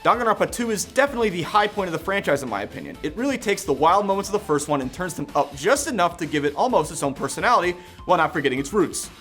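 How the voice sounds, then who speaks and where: A man with a high voice delivers video review narration at a brisk clip. He sounds casual and opinionated, with faint music in the recording. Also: big pitch swings, a bit bright. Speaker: host and reviewer.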